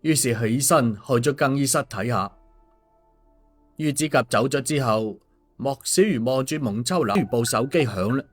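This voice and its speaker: A male audiobook storyteller, narrating a scripted tale at an ordinary middle pitch. Soft music plays behind his voice. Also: animated, normal speed. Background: background music.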